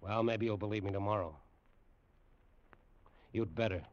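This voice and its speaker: An actor playing a shaken, insistent air force major and test pilot in an old-time radio drama, speaking with urgency. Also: male voice, on the low side, normal speed, natural voice. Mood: weary, resentful. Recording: muffled, faintly noisy old radio recording.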